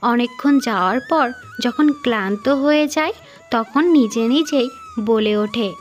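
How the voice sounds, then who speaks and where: A woman's voice: on the high side, unhurried, faint music behind. A woman with an Indian Bengali accent narrating a scripted fairy tale. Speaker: storyteller narrator.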